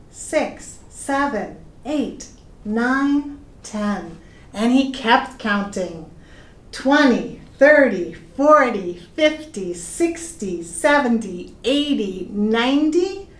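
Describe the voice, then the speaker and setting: A middle-aged woman reading a children's story aloud in a deliberate, expressive way, addressing young listeners. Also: a little high. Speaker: pastor reading stories to children. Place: indoor room with plain walls. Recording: echoey room.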